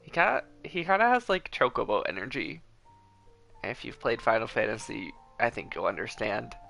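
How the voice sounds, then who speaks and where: Game streamer, conversational, enthusiastic, very lively pitch, casual chat, faint music behind. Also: normal speed, vocal fry. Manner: conversational, enthusiastic fan commentary. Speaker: livestream host.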